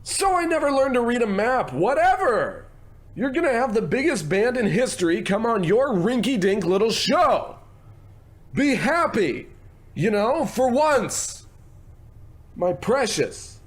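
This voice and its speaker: A man's voice: extremely high pitch, very animated, slow pace, exaggerated voice. A man playing a pushy, self-important showbiz producer, gushing and condescending, in an old-time radio comedy. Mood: bossy, condescending. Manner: bossy, gushing, condescending pet names.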